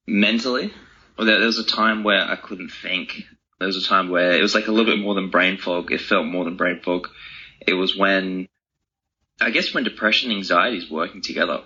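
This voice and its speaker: A young man gives casual interview answers, thinking aloud as he goes. His voice is low and raspy with a very bright, forceful edge. It is conversational throughout and informal in wording. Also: normal speed. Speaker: interview guest, author and speaker. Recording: dull, lo-fi audio.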